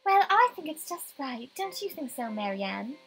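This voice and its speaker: A woman's voice: high-pitched, big pitch swings, moderate pace, over loud music. A woman voicing an enthusiastic, bossy rich wife in a visual novel, gushing and emphatic.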